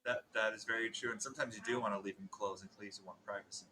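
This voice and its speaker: Halting, rambling man at a game table. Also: on the low side, steady tone, pushed and bright, slight background noise. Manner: rambling, halting, self-contradicting. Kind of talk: in-character casual chat.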